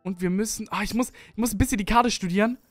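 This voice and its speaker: A man's voice: high-pitched, quick pace, no room sound, soft background music. Young man with a Berlin accent, gaming chat. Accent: Berlin German. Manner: chatty, rambling, self-mocking. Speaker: let's play streamer. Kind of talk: casual gameplay commentary. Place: home gaming setup, indoors. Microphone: studio mic on arm, headphones.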